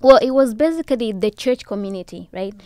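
A woman's voice: on the high side, expressive, fairly quick, no room sound. Rwandan-born young woman, dry studio mic. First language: Kinyarwanda. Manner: warm storytelling with soft laughs and many "you know"s. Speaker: guest. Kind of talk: casual interview chat. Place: podcast studio, indoors. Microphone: studio boom mic with headphones.

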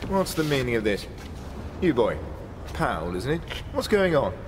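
An English actor playing a haughty, blustering titled villain in a radio drama, speaking formally. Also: male voice, medium pitch, sing-song, moderate pace, noisy background, close to an everyday voice. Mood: indignant. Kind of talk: BBC radio drama acting.

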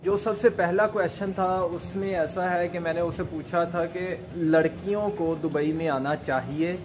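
A man's voice: sits high, steady tone, a little slow. A young man. He explains things casually, mixing in English words, on a noisy phone recording made at arm's length in an open public area. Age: 20s. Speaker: vlog host. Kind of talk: casual vlog talk to camera.

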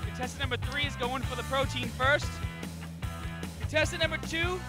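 A young man hosting a contest with a handheld mic in a crowded convention hall, talking loud and upbeat. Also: very high-pitched, moderate pace, over loud music. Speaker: host. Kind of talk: energetic event hosting.

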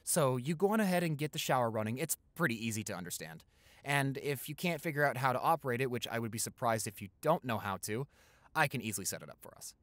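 A man's voice: medium pitch, lively intonation, quick pace, fairly bright tone, no echo, lightly acted. Tender young boyfriend, acted by a man, dry close sound. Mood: relaxed, affectionate. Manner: tender, chatty, stammering when flustered. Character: affectionate, flustered young college-age boyfriend. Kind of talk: improvised intimate boyfriend roleplay audio.

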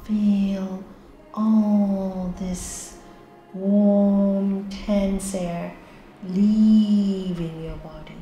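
A woman leading a guided meditation, giving slow, soft, calm instructions with long pauses. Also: mid-range voice, reverberant, lo-fi sound, faint music behind.

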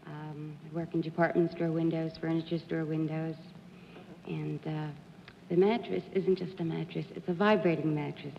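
A woman's voice: sits low, steady tone, moderate pace, vocal fry, slight background noise. A woman contestant eagerly chatting about her work with the host of a television game show. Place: television studio. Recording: dull lo-fi 1950s broadcast with hall reverb.

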